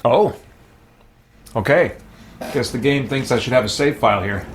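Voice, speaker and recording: A man's voice: medium pitch, moderate pace, noisy background. A man giving gaming commentary as a streamer, with a theatrical bent. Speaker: streamer roleplaying a game character. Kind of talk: gaming commentary with read-aloud roleplay.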